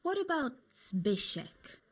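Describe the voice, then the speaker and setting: A woman's voice: high voice, big pitch swings, slow, a little hiss or hum, exaggerated voice. A young woman playing a cheerful, teasing medieval tavern girl in a video game, chatty and coaxing. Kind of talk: video game cutscene acting.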